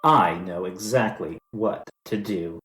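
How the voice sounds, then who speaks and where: An eager young boy in a storybook, keen to get breakfast going, read by a man. He sounds slow and emphatic. The voice rings in a roomy space over soft background music. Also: sits low, pitched below the performer's own voice.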